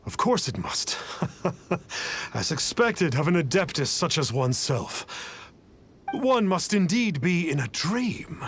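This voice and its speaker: A man's voice: on the high side, big pitch swings, slow pace, long pauses, fairly bright tone, slight background noise, full character voice. A man playing a pompous, cowardly fraud in a video game, speaking in a theatrical, self-important way. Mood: bewildered, smug. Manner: pompous, theatrical, archaic self-reference as 'one'. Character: pompous, cowardly male charlatan posing as immortal.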